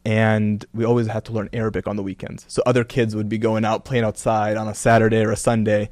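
Interview guest: earnest, reflective man with a low, dry voice. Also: little pitch movement, fast, darkish tone, dry recording. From Kuwait and Canada. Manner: earnest, reflective, many "you know" fillers. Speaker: guest, religious content creator. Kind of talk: casual interview talk.

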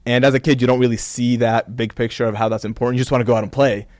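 A man's voice: medium pitch, little pitch movement, very fast, a little mellow, no room sound, clean studio sound. A man who grew up in Kuwait and Canada, speaking earnestly and reflectively as an interview guest, with many "you know" fillers. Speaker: guest, religious content creator. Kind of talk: casual interview talk.

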